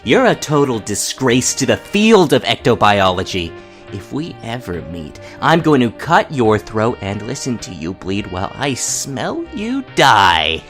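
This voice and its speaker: A performer voicing a hate-filled internet troll, speaking slowly and deliberately with open contempt in a fan radio play. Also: mid-range voice, wildly swooping pitch, fairly bright tone, soft background music. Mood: menacing contempt. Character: menacing, hate-filled internet troll making slow death threats.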